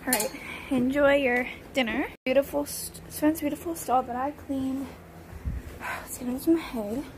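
A woman's voice: sits high, measured pace, background noise. A teenage girl chatting casually with lots of fillers as she vlogs her barn chores, recorded on a phone camera. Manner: chatty, rambling with many fillers. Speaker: vlogger. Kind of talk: casual vlog narration. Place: horse barn.

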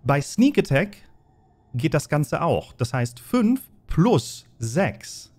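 A man's voice: a little high, sing-song, slow, a little mellow. Man explaining as game master. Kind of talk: narrating and explaining a tabletop game.

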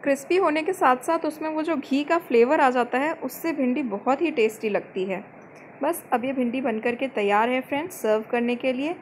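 A woman giving calm step-by-step cooking instructions as the host of a home recipe channel, mixing English words into her Hindi. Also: high-pitched, normal speed, a little hiss or hum. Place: home kitchen.

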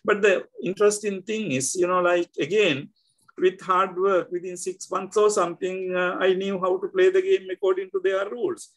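An older man with a Sri Lankan accent, speaking as an interview guest over a video call, halting with many fillers and restarts. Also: high voice, little pitch movement, fairly bright tone, poor audio. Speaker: guest scholar. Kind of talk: reflective interview talk. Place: indoor home room.

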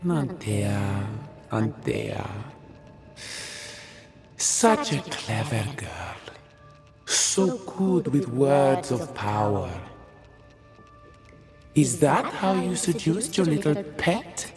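A woman's voice: a bass voice, big pitch swings, very slow, long pauses, a little mellow, soft background music, exaggerated voice. A woman playing a taunting, seductive female demon in a game, drawling and mocking theatrically. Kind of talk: theatrical game villain acting.

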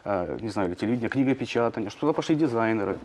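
A man, a journalist, answering in a studio interview, talking quickly with many hesitations and fillers. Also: on the low side, lively intonation, darkish tone. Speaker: journalist guest.

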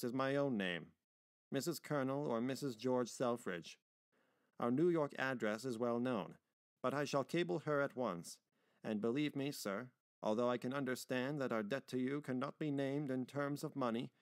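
A male narrator plays a tender old gentleman in a storybook reading, earnest and formal. He is full of feeling, and the sound is dry. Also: mid-range voice, no echo, close to an everyday voice.

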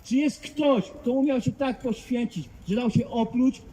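A man's voice: extremely high pitch, measured pace, mellow, slight background noise, poor audio. A man preaching outdoors to passers-by, fervent and emphatic, driving points home with rhetorical questions. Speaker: street preacher. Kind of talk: open-air evangelistic preaching. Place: outdoors in a public street.

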